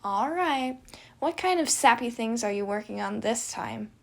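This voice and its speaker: Woman playing brash, mischievous evil twin, gravelly and loud. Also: a little high, lively intonation, measured pace, exaggerated voice. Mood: teasing. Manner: loud, exclaiming, teasing. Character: brash mischievous young man, crude chaotic evil twin.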